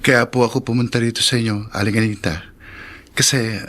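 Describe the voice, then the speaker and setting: A man voices a worried young man in a Filipino radio drama. His voice is average in pitch. He is courteous and apologetic in how he talks. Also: steady tone, fairly quick, fairly bright tone, soft background music, close to an everyday voice. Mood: worried, respectful. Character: polite, worried, apologetic young male former suitor.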